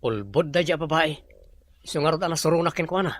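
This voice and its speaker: A man's voice: on the high side, lively intonation, fast talker, a bit bright, no room sound. A man playing a stubborn businessman's son who avoids marriage, in an Ilocano drama. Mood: casual, defiant. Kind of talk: Ilocano radio-style drama acting.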